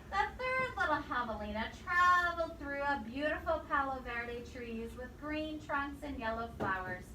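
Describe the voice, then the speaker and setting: A woman reading a picture book aloud to children, expressive and pausing to quiz her young listeners. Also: high-pitched. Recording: roomy echo, distant mic, faint noise.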